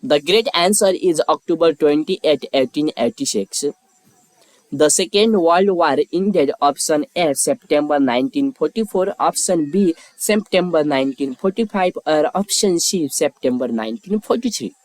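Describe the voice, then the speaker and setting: A man hosting a quiz, reading scripted questions and lettered options in a measured, formulaic way. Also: on the high side, lively intonation, dry recording, poor audio. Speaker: quiz host.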